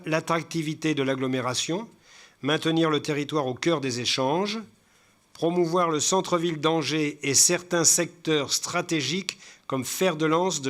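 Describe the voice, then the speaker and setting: A man giving a formal report as a local councillor, reading out points haltingly, stumbling and repeating words as he goes. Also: fairly high pitch, a bit bright. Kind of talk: formal presentation read from slides with spoken asides.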